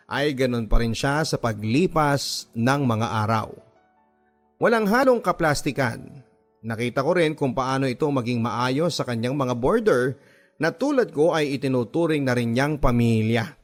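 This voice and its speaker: A man playing a gentle, earnest young grocery worker, telling his own story in measured, sentimental radio-drama narration with dramatic pauses. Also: medium pitch, expressive, faint music behind, close to an everyday voice. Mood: reflective, warm. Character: gentle, earnest 24-year-old male grocery worker recounting his life.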